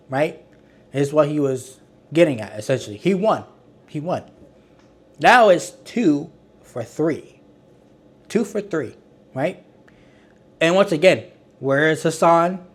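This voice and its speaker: A man talking casually as the host of an online commentary channel. He sounds exasperated, rambles, restarts his sentences often, and calls his own voice monotone. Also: medium pitch. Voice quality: self-described monotone. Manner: rambling, with frequent restarts and filler words; exasperated and critical.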